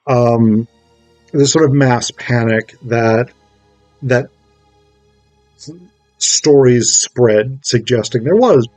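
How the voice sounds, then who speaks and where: A man's voice: mid-range voice, mellow, no room sound. A man giving a casual spoken review, enthusiastic and digressive, often thinking aloud as he goes. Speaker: amateur stage actor reviewing a play script.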